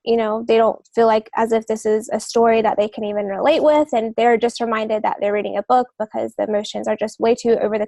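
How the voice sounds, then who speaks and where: Higher-voiced woman explaining, close and dry. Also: darkish tone, no room sound. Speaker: podcast co-host, author. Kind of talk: casual explanatory podcast talk.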